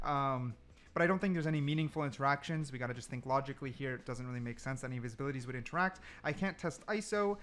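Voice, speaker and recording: Higher-voiced man doing casual game commentary. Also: a bit bright. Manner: informal, slangy, thinking aloud. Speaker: gaming content creator.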